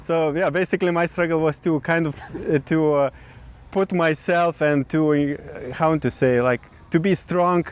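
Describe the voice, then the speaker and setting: A man in his thirties from Lithuania, speaking English with a Lithuanian accent in casual conversation, often pausing to search for words. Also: on the high side, steady tone, clear, resonant tone. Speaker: podcast co-host. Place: outdoors in a city.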